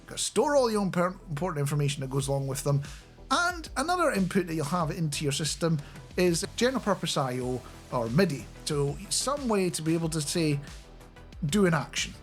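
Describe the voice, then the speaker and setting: A middle-aged man giving a casual, chatty and animated explainer into a close studio microphone. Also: on the high side, a little fast, faint music behind. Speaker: radio producer and software developer presenting a video. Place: small home studio indoors. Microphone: close studio microphone on a boom arm.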